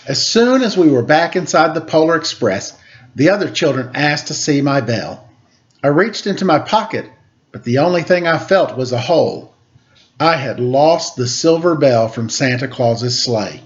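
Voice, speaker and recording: A man's voice: on the high side, animated, normal speed, muffled recording. A man reading a children's picture book aloud as a storyteller. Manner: acts out quoted dialogue.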